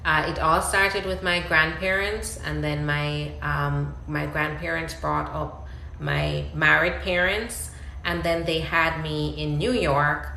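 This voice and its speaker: A woman's voice: low voice, steady tone, slow, gravelly, sharp tone, roomy sound, slight background noise, rough recording. A woman with a Jamaican accent, an immigration attorney answering questions in a live-stream Q&A, explaining carefully with frequent ums and restarts.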